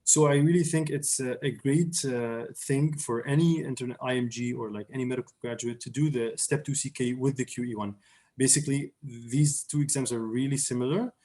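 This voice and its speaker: A young man from Syria answers questions as an interview guest. His voice sits in an ordinary middle pitch. He talks casually over a video call, full of fillers and restarts. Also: fairly even intonation, normal speed, dark tone. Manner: hesitant, many fillers and restarts. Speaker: interview guest, surgery resident.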